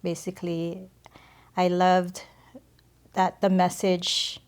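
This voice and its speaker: A middle-aged woman from the Philippines tells her story of faith as a guest, slowly and hesitantly. Her voice sits fairly low and stays level, with little rise or fall. She pauses often and fills the gaps with soft fillers. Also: completely flat delivery, smooth. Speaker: podcast guest. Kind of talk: personal faith testimony.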